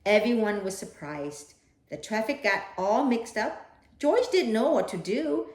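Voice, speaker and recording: Expressive, echoey woman reading to children. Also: fairly high pitch, unhurried. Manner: simple, direct phrasing aimed at young children. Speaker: host of a children's read-aloud show. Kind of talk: expressive storybook read-aloud and talk addressed to children.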